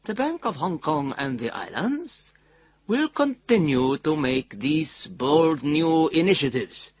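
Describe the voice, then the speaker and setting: Self-important bank boss reading a formal statement, voice up and down a lot, slightly lo-fi radio play. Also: male voice, a little high, fairly bright tone, slightly rough, full character voice. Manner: pompous, emphatic corporate delivery. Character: smooth-talking, self-justifying male bank president.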